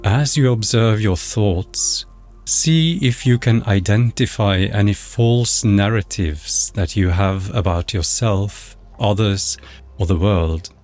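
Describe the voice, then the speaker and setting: A man narrating a guided meditation in a measured, instructional, scripted way. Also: lowish voice, darkish tone. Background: background music.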